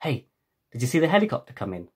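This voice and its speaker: Guy doing a playful teasing boy in an adventure audiobook, bit husky, upbeat banter. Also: medium pitch, sing-song, quick pace, darkish tone. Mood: curious.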